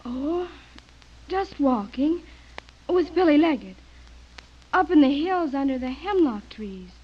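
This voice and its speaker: A defiant teenage daughter in a radio thriller. Her voice is very high, with a solemn, evasive edge. The recording sounds dull and lo-fi. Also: expressive, slow. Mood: evasive, flustered. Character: intense, superstitious seventeen-year-old girl, defiant daughter. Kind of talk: radio drama acting.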